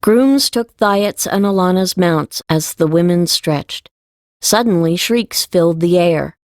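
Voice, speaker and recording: A female narrator tells a fantasy story from a script. Her voice sits on the low side, and the recording is close and dry, as in an audiobook. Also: lively intonation, slow, no room sound.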